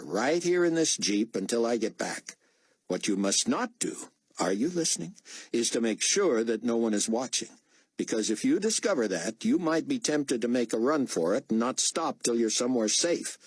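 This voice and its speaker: A man voicing a brisk man who gives curt commands while quietly helping a prisoner. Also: husky, no echo. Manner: curt, commanding, low-key. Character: brisk male journalist posing as an officer, secretly kind.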